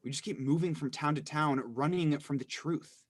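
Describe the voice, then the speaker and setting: An actor playing a brooding, detached younger brother giving a dramatic monologue in a play reading. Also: male voice, a little high, moderate pace, close to an everyday voice. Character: brooding younger brother narrating his broken family.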